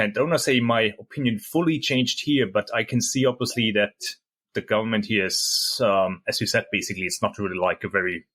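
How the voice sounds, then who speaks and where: Middle-aged German man as interview guest, lowish voice, halting and filler-heavy delivery. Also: fairly bright tone. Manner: hesitant, many fillers and restarts. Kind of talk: casual interview chat.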